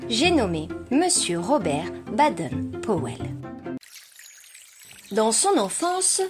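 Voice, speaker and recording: A woman hosting a podcast, delivering scripted educational narration with playful asides, speaking informally and directly to the listener. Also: medium pitch. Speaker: podcast host.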